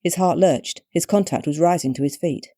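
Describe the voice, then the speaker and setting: A British woman narrating her own short story with measured, wryly expressive storytelling. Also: on the low side, no echo, clean studio sound. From Britain.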